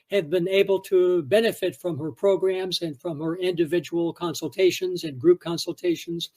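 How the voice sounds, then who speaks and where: Formal high-voiced male host. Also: darkish tone. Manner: formal, courteous. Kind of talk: interview hosting.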